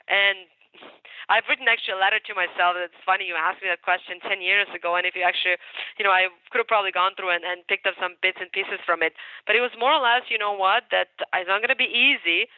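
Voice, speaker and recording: A woman's voice: medium pitch, dry recording. A woman with a Ukrainian accent, a mortgage broker chatting as a guest in an interview, upbeat and talkative, repeating words for emphasis. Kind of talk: casual podcast interview. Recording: tinny phone line, dry.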